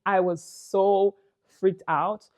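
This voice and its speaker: A woman's voice: mid-range voice, little pitch movement, very slow, darkish tone. A young woman with a Nigerian English accent, telling a personal story to camera in a casual, chatty way full of "like" and "you know". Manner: chatty, frequent "like" and "you know", laughs between phrases. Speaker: vlogger.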